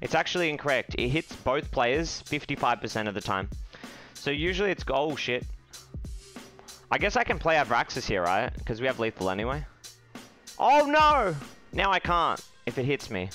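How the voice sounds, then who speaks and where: Profane excitable streamer man. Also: medium pitch, normal speed, faint music behind. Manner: profane, excitable, shouting when upset. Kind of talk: live game stream commentary. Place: small room indoors.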